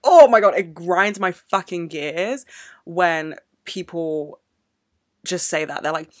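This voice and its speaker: A woman's voice: fairly low pitch, slow pace, no room sound, clean studio sound. Sweary woman ranting on a podcast. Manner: emotional, swears a lot, ranting. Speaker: podcast host. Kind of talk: unscripted solo podcast monologue. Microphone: podcast microphone.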